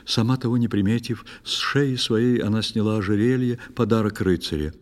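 A man narrating a fairy tale in expressive, theatrical verse for an audio play for children. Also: fairly low pitch, brisk. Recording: studio narration over soft music.

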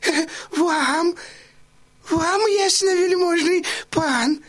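A man playing a frightened, fawning go-between in an audio drama, stammering, repeating himself and wailing his obsequious flattery. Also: extremely high pitch, extremely slow, heavily acted. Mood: timid, fawning. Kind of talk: theatrical audio drama acting.

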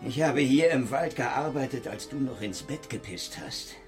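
A man's voice: mid-range voice, moderate pace, over music, natural voice. A man playing a cynical, menacing interrogator in a Soviet-era thriller radio drama, taunting and needling with his questions. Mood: mocking menace.

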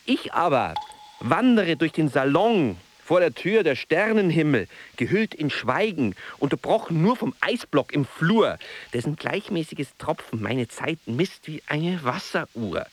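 A brooding, solitary writer delivers a radio drama monologue. His voice sits a little high for a man and moves animatedly up and down. He muses and mutters with self-mocking wryness. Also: big pitch swings, unhurried, slight background noise. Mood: wry, musing.

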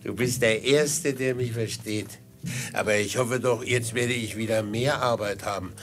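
An actor playing a chatty, amiable executioner with a chilling calm in a German radio play, unhurried and musing. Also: male voice, on the low side. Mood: genial, content.

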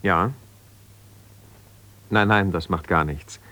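An actor playing an ambitious, self-justifying lawyer in a German radio drama, speaking haltingly and conversationally as if on the phone. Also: male voice, sits low, bright, forceful tone, full character voice. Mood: measured, advising. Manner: conversational, halting, with repeated phrases and pauses.

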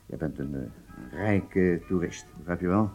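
An actor playing an agitated, businesslike intelligence handler in a radio drama, with halting delivery and emphatic outbursts. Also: male voice, deep voice, lively intonation, a little mellow, muffled recording, faint music behind. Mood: brisk, businesslike.

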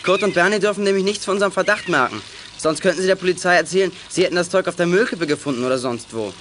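A level-headed boy detective in a children's audio drama. He lays out plans decisively in a high, quick voice, over faint noise. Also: fairly bright tone. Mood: thoughtful. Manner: decisive, explanatory.

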